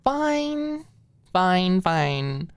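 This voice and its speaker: Woman acting a bashful tipsy young woman, higher-pitched, sheepish, wry, mock-scandalized audiobook character. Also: very animated, extremely slow, clean tone, no echo, exaggerated voice. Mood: reluctant, amused.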